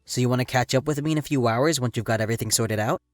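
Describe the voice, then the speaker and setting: A man's voice: mid-range voice, very fast, dry recording, clean studio sound, lightly acted. An actor playing an easygoing, supportive young male god who talks in a reassuring, laid-back way.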